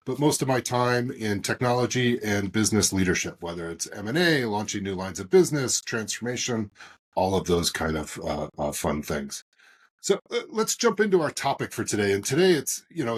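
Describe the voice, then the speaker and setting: Husky-voiced man hosting a podcast. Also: mid-range voice. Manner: rambling, many fillers and restarts, animated emphasis. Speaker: podcast host and moderator.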